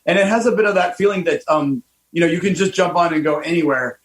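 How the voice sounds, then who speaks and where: A man in his fifties hosts a casual talk radio chat with an animated, enthusiastic delivery. He stops and restarts often, and his voice sits slightly higher than most men's. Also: quick pace. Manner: enthusiastic and animated, many fillers. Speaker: host, car designer.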